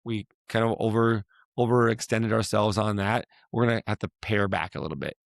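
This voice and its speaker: A man hosting a casual talk, his voice hoarse and raspy from a week of yelling, rambling and restarting as he asks questions. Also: fairly low pitch, steady tone, fairly quick, dry recording, clean studio sound. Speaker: podcast host, fitness coach. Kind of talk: casual chat.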